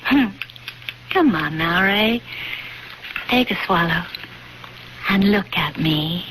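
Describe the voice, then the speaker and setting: A woman's voice: lowish voice, lively intonation. An actress playing a seductive, sinister woman who secretly craves youth, in a coaxing, intimate 1970s radio mystery style. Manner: coaxing, deliberate, intimate delivery. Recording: noisy 1970s radio drama recording.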